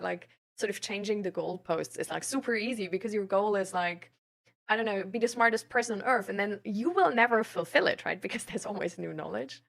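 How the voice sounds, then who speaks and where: A woman's voice: mid-range voice, gravelly. A young adult woman chatting casually as a live streamer, explaining things in an informal, rambling way with lots of filler tags. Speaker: live streamer talking with chat viewers. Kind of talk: casual livestream chat about mental health and self-improvement.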